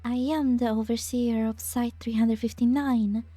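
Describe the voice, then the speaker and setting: A woman voicing an authoritative site director, measured and demanding. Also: a little high, steady tone, warm tone, no room sound. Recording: dry close mic, faint music.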